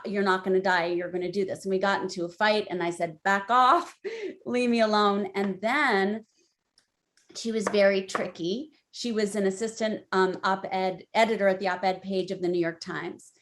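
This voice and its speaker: A woman in her forties on a webinar panel, telling a personal story in a candid, conversational way that turns lively when she quotes herself. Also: medium pitch. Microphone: video call.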